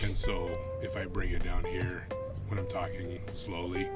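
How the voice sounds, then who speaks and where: A young man, a cook co-hosting a podcast, talking casually with lots of swearing; his voice is low, nasal and mumbling. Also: flat delivery, normal speed, loud music behind the voice. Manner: swears a lot, rambling, laughs often. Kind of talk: casual profane chat.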